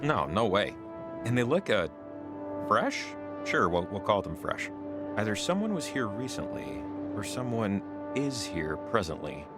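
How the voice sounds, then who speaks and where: An easygoing, skeptical companion in a mystery game. His lowish voice moves around animatedly as he hedges and wryly doubts. Music plays clearly behind him. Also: big pitch swings, measured pace. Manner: casual, hedging, wry. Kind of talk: naturalistic game voice acting. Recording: loud music under the voice.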